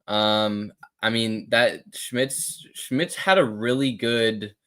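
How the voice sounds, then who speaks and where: A man's voice: lowish voice, fairly bright tone. A male host giving casual sports analysis, hesitant with many ums and restarts.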